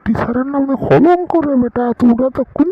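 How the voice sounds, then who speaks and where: A man playing a strict, scolding Sylheti schoolmaster in a comic cartoon, with a husky, gravelly voice and a Sylheti accent. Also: extremely high pitch, fairly quick, no echo. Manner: scolding, questioning. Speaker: teacher. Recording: dull, lo-fi sound.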